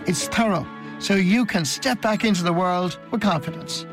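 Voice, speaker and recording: A man reading a radio advert in a calm, reassuring way. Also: high-pitched, normal speed, over music.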